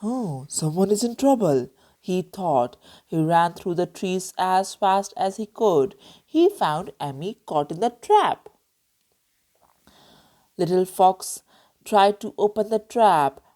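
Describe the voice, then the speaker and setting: A woman storyteller reading a children's book aloud with expressive narration. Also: on the low side, slow pace.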